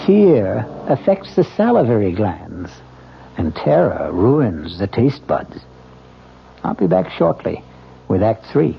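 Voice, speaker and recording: A man with an American accent hosting a radio mystery, narrating theatrically in a deliberate, ominous and wry manner. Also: on the low side, wildly swooping pitch, vocal fry, slight background noise. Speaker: host and narrator of mystery series. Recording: dull old radio recording with music. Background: music.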